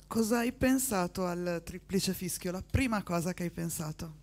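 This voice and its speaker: A man's voice: high voice, moderate pace, darkish tone. A man, a journalist, asking the coach direct questions in a familiar tone. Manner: direct and familiar, uses informal address.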